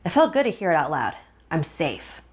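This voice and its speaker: A woman reading a first-person horror story in a suspenseful, expressive, dramatic way. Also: deep voice, normal speed, slight background noise. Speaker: narrator. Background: music.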